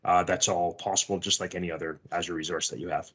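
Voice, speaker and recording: A man giving a technical answer on a call in a measured, matter-of-fact way. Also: deep voice, flat delivery, fairly bright tone, husky. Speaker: product presenter answering questions.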